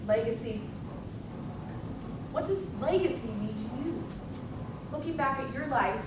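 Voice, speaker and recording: A woman's voice: sits high, animated, unhurried. A woman playing an attentive, inquisitive interviewer in a staged biographical play. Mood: curious. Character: attentive interviewer questioning an elderly activist. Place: library presentation space. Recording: echoey room, distant mic, loud noise.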